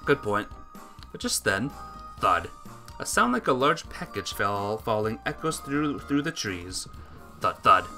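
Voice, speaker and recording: A man's voice: lowish voice, very animated, a little slow, pushed and bright, soft background music. A man streaming a visual novel, reading the text aloud dramatically and chatting casually with viewers.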